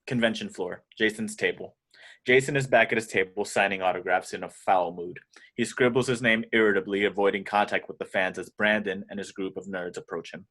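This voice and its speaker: A man's voice: lowish voice, steady tone, fairly quick, fairly bright tone. A man narrating screenplay action lines as scripted narration in an amateur table read. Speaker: narrator reading stage directions.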